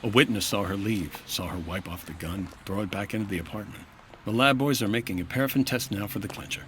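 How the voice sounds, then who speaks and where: A low-pitched, hardboiled private investigator from old-time radio , acted by a man. He is wry, deadpan and world-weary, with faint noise behind him. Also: fast talker, close to an everyday voice. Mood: wry, sober. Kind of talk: 1950s radio detective acting.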